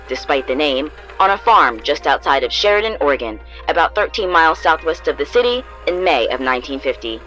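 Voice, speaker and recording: A man's voice: fairly high pitch, fairly bright tone, dull audio. A man playing a polished, theatrical TV news anchor, reading scripted newscast stories in an audio drama.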